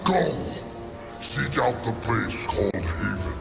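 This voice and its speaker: A man's voice: medium pitch, expressive, takes it slowly, gravelly, full character voice. A man voicing a grave, commanding otherworldly authority who issues orders in a video game. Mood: imperious. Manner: grave, imperious. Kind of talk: dramatic video game cutscene acting. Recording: distant voice under loud music.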